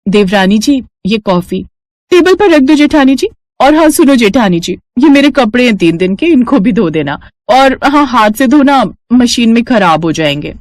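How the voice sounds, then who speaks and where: A woman's voice: fairly high pitch, lively intonation, moderate pace, darkish tone, dry recording, voice pushed up, close to an everyday voice. A woman voicing a smug, boastful young working daughter-in-law in a story, sugary to elders and condescending. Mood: demanding, complaining.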